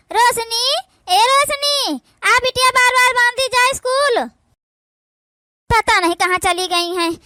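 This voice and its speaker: A woman with an Awadhi accent playing a gentle, patient young stepmother in a cartoon, coaxing and soothing as she speaks. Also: very high-pitched, no echo, clean studio sound. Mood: calling, fretting. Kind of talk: amateur comedy cartoon dialogue.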